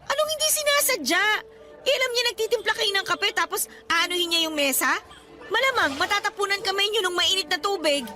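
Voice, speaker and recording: A woman's voice: extremely high pitch, lively intonation, a little fast, pushed and bright, soft background music, heavily acted. A woman playing a caring, protective younger woman in a radio drama, speaking earnestly and emphatically. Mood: indignant. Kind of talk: Tagalog radio drama acting.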